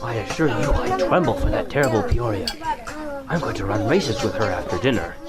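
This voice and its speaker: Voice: mid-range voice, expressive, brisk, over loud music, a loud crowd, lightly acted. An actor playing a jovial, courtly uncle in an audio drama, wordy and mock-solemn. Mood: playful mock alarm.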